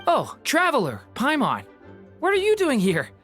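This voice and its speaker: A woman voicing a naive, gentle youngster born from a storybook, earnest and hesitant, in an anime-style game. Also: high voice, huge pitch swings, moderate pace, soft background music, exaggerated voice. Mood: surprised.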